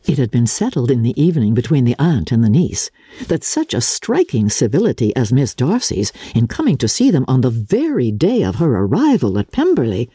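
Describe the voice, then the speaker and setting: A woman narrates classic literature in a formal, theatrical storytelling style. Her voice is husky and mellow, and her pitch moves dramatically up and down as she shapes each measured phrase. The sound is dry and intimate. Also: big pitch swings, no room sound. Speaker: audiobook narrator.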